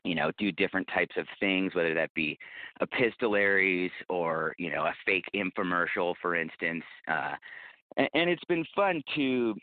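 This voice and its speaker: A man giving a thoughtful, conversational interview as a guest, explaining things in a measured way. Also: deep voice, lively intonation, dry recording. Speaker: podcast creator, interview guest. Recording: tinny phone-like line, dry.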